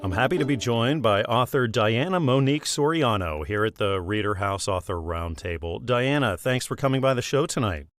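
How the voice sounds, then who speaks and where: A man's voice: mid-range voice, lively intonation, normal speed, few pauses, faint music behind. A man hosting a book interview show, polished and promotional. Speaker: host.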